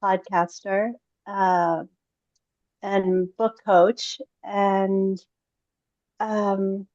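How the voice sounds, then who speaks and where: Woman, very slow pace, hesitant pauses, lightly amused, dull dry video-call audio. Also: mid-range voice, little pitch movement, darkish tone, no echo. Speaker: author, attorney and book coach.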